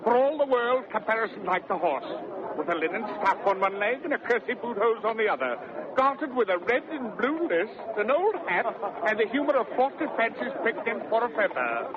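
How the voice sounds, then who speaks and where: An actor playing a cheeky, excitable servant, reeling off comic lists theatrically in 1930s radio Shakespeare. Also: male voice, very high voice, a little fast. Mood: scornful amusement. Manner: breathless comic list-making, theatrical. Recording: dull 1930s radio recording, loud crowd behind.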